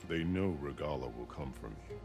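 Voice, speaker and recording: Really deep booming war chief, stern and formal, game music under it. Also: male voice, expressive, normal speed, vocal fry, exaggerated voice. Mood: solemn commanding. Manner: formal, solemn. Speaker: game character. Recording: game audio with music behind.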